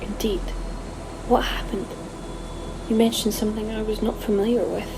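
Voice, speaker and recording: A woman's voice: mid-range voice, little pitch movement, moderate pace, noisy background, close to an everyday voice. Woman acting a questioning, uneasy companion. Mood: uneasy, curious. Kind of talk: audio drama acting.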